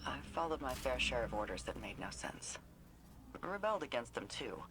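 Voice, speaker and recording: A woman's voice: rumbling low, sing-song, normal speed, background noise. A woman voicing a tough, blunt female soldier in a video game cutscene, plainspoken and candid. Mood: earnest.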